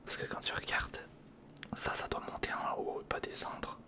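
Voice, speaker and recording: An ASMR creator, speaking in a breathy whisper close to the microphone, casually talking through hands-on work and repeating short phrases. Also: normal speed. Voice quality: breathy, mostly unvoiced whisper. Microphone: close studio recorder microphone.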